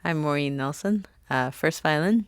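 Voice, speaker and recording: Soft-spoken woman violinist explaining. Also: low-pitched, moderate pace, clean tone, dry recording. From Pennsylvania. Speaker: first violinist guest. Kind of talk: relaxed interview answers. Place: radio studio.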